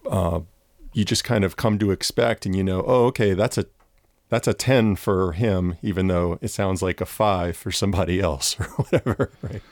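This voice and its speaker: A man interviewing an author, asking long questions in a halting, searching way with repeated words. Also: low voice, steady tone, dry recording, clean studio sound. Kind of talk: academic conversation.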